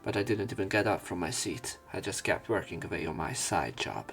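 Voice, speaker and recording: Low-voiced man reading a visual novel aloud. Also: animated, brisk, gravelly, fairly bright tone, breathy edge, faint music behind. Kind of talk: narration and commentary of a visual novel.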